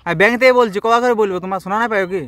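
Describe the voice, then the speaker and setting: A man's voice: high-pitched, very fast, crowd noise, natural voice. A man playing a pushy, threatening bank loan collector on a prank call, badgering. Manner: badgering, insistent. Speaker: prank caller. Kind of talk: improvised prank phone call. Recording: noisy amateur phone-call recording.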